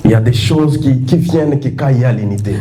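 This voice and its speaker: A man preaching in a church, pausing for an interpreter, emphatic and stammering at times, with sing-song calls. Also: mid-range voice, dark tone, slight background noise. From Congo. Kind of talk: sermon with interpreter. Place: church hall. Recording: echoey church with congregation.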